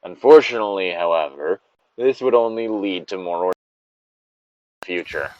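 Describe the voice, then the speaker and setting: A man's voice: low voice, moderate pace, a little mellow, no echo, dull audio. A man co-hosting a read-aloud with casual, sweary, self-deprecating commentary. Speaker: co-host reading a story aloud.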